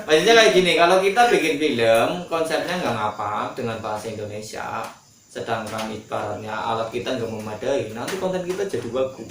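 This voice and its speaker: A man's voice: medium pitch, fairly quick. A man explains his views at length and hesitates with fillers as he goes. He sits at a distance from the mic in a roomy, echoey space, with light background hiss. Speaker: guest filmmaker. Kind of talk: casual chat in Indonesian with Javanese phrases. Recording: echoey room, far from the mic, faint noise.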